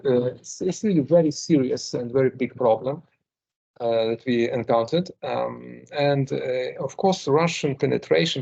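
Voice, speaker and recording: Gravelly older man with a Ukrainian accent. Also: medium pitch, a little mellow. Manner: hesitant, with frequent fillers and repeated words. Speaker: guest lecturer. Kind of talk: academic seminar talk. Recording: muffled lo-fi recording.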